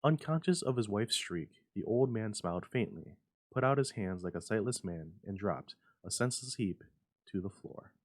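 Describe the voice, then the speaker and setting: A man's voice: on the low side, expressive, normal speed, no room sound. A man reading a literary story aloud as a dramatic narration. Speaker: story reader.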